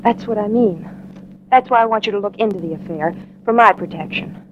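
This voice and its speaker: A woman plays a poised young society wife in a 1940s radio mystery. She is guarded, direct and measured at a middling pitch, on a dull, hissy old recording. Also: lightly acted. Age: 20s.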